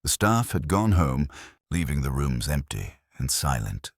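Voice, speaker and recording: A man reading a scripted dramatic first-person story as narrator, in a measured, solemn manner. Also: extremely low pitch, big pitch swings, breathy edge, no echo, clean studio sound.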